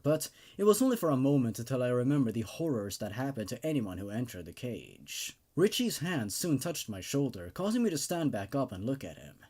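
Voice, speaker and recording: A man who hosts horror story readings, narrating dramatically and commenting casually in an expressive, emphatic way. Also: mid-range voice, husky. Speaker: host reading and reviewing horror stories.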